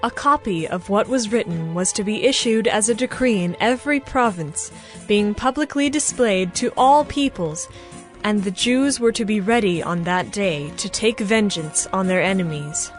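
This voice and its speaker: A woman giving a formal, measured, scripted narration of a dramatized scripture story. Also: mid-range voice, expressive. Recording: music behind the voice.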